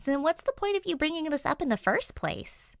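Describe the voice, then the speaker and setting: A woman's voice: high-pitched, big pitch swings, fairly quick, no echo, voice pushed up. A woman reads as a young storybook girl, frank and curious. She speaks in a direct, eager, friendly way, recorded dry and close. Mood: exasperated.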